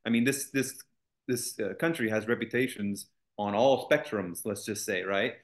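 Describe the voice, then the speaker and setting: A man's voice: on the low side, moderate pace. A man, a college executive as interview guest, speaking fluently with confident, joking ease. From United States. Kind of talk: interview conversation.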